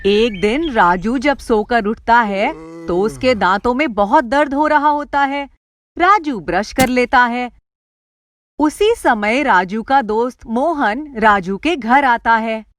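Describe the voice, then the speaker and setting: A woman's voice: fairly high pitch, lively intonation, takes it slowly, a bit bright, dry recording. A woman reading scripted story narration in a storyteller's role. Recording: music behind the voice.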